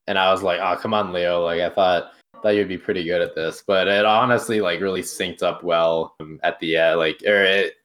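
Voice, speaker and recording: A young man co-hosting a podcast, talking casually about a film in halting phrases full of likes and restarts. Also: low voice, steady tone, fairly bright tone. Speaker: podcast co-host.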